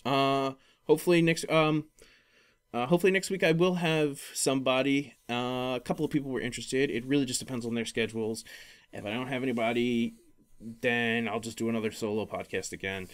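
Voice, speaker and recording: A man hosting a solo podcast, talking casually in a rambling, halting way and often correcting himself. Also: medium pitch, steady tone, clear, resonant tone. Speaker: podcast host.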